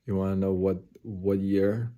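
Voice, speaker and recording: Man in his forties, very low voice, slow, mellow, rambling live-stream monologue full of fillers. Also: steady tone. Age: 40s. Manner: rambling, repeats himself, many fillers. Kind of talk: late-night casual monologue.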